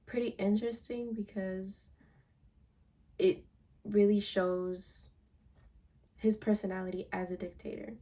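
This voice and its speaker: A young woman walks through her slides in a student presentation, her voice mellow and soft-edged. She talks casually, pausing and restarting now and then as she explains. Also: mid-range voice. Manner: casual, slightly halting delivery with fillers. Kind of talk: class presentation over slides.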